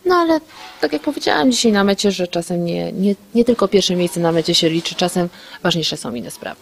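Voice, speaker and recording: A young woman athlete in a casual post-race interview, talking candidly and quickly, often restarting sentences and laughing lightly. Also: fairly low pitch, lively intonation, vocal fry, slight background noise.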